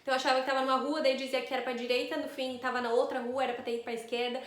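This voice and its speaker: A woman's voice: high-pitched, little pitch movement, racing, echoey room. A Brazilian woman, an astrologer, telling a rambling travel story to camera in a casual, chatty way, with frequent tag questions.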